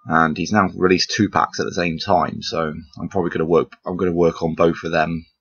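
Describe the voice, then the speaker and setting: A man with a low, husky and croaky voice chats loosely while playing a puzzle game, trailing off and teasing himself. The recording is a bit dull and lo-fi, with faint game music underneath. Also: level tone, fairly quick, a bit bright. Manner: rambling, self-deprecating, chuckles often. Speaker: gameplay commentator. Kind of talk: casual gameplay commentary.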